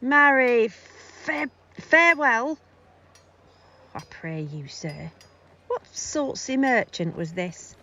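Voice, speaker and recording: A woman's voice: a little high, lively intonation, takes it slowly, sharp tone, exaggerated voice. A woman playing a garrulous, easily affronted, earthy nurse in a Shakespeare audio drama, recorded on a phone. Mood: polite, flustered. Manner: blustering, indignant, fussy. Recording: dull home phone recording.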